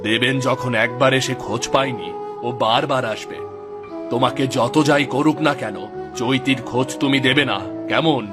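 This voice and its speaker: A man's voice: mid-range voice, steady tone, moderate pace, close to an everyday voice. A man playing a wary, scornful village husband in a cartoon story, talking in a firm, cautioning manner. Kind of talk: dramatized Bengali cartoon story dialogue. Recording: music behind the voice.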